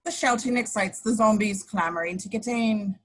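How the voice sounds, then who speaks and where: Husky woman narrating a script. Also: mid-range voice, moderate pace. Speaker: action description reader.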